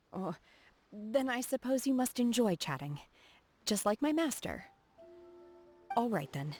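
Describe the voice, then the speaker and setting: A reserved young warrior disciple from a fantasy game, with a fairly low voice. She speaks formally and carefully, earnest and a little socially awkward. Music plays clearly behind her. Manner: formal, measured, softly earnest. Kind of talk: video game character voice acting. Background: background music.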